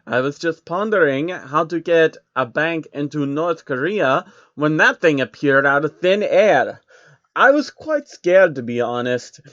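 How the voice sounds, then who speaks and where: A man's voice: a little high, expressive, unhurried, fairly bright tone, dry recording. Guy doing a nervous rich yes-man in a story, polite and servile, bit muffled. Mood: rattled, deferential. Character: deferential, anxious male billionaire underling.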